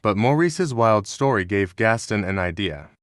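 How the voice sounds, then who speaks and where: A man's voice: lowish voice, lively intonation, normal speed, dry recording, studio quality. A man reading scripted narration as the narrator of a children's storybook.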